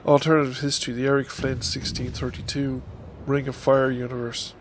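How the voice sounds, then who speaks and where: A man's voice: medium pitch, level tone, brisk, noisy background. A man in his fifties with a stuffy nose from a head cold, rambling hesitantly with many ums in a casual vlog update at his desk microphone. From Ireland. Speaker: YouTuber. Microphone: desk microphone on a stand.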